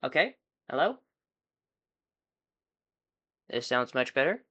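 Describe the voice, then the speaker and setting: A man's voice: mid-range voice, very animated, fairly quick, a bit bright, dry recording, highs cut off. A man chatting casually as he reacts live to a game on stream.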